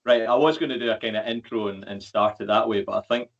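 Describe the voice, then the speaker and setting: A man's voice: lowish voice, fast talker. A man hosting a podcast, talking in a laid-back, casual way. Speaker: podcast host. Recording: dull lo-fi sound.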